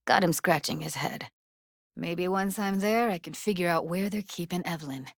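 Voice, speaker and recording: A woman's voice: lowish voice, normal speed, no room sound, studio quality. A woman voicing a streetwise, guarded young techie in a video game, blunt and slangy, with an American accent. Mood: guarded, sardonic. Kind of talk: naturalistic video game dialogue.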